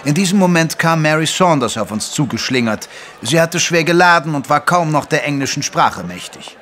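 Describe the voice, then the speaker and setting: An actor playing a genteel, good-natured Victorian doctor who narrates an audio drama in a measured, literary way. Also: male voice, fairly high pitch, expressive, a bit bright. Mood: genial.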